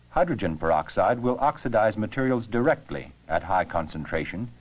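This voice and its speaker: A man narrating an educational science film with scripted, measured, explanatory delivery. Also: deep voice, rough recording.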